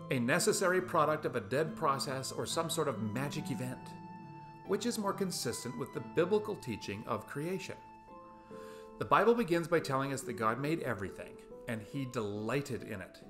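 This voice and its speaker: Animated middle-aged man, fairly high voice. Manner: rhetorical questions, playful emphasis. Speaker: video essayist. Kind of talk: scripted talk to camera, essay-like. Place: small room at home, indoors.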